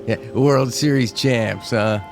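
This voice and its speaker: Gravelly, breezy young American workman. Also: medium pitch, slow pace, music in the background. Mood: teasing. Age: young adult. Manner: casual, teasing. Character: breezy young workman teasing his elder. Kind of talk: radio drama acting.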